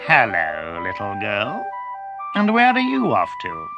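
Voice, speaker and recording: A man voicing a cunning, polite male wolf in a storybook reading, coaxing and smooth. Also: lowish voice, wildly swooping pitch, measured pace, music in the background, pitched below the performer's own voice, exaggerated voice. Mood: sly.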